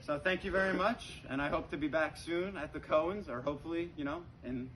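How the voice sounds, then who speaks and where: A man's voice: a little high, a little fast, fairly bright tone. A man performing stand-up comedy, telling stories conversationally to a laughing live audience and often saying "you know". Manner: conversational, frequent "you know", acts out dialogue. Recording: noisy live recording with room ambience.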